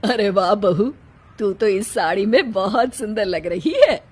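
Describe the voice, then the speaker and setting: Woman playing a husky motherly mother-in-law. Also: medium pitch, animated, measured pace. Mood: admiring. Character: older mother-in-law, fond of daughter-in-law, scolding. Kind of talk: Hindi cartoon story dialogue.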